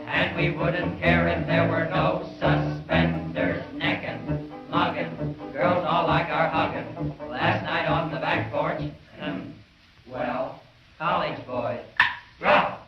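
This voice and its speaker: A man's voice: a little high, expressive, takes it slowly, vocal fry, far from the mic. A man performing a vintage novelty song, half singing and half speaking. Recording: old, echoey, muffled recording under loud music. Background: loud music.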